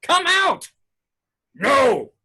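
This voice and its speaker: A man playing an anxious, flustered scientist in an amateur table read, frantic and strained, with a husky voice. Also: very high voice, huge pitch swings, extremely slow, sharp tone, exaggerated voice. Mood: frantic frustration. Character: anxious, flustered male scientist, frustrated with himself.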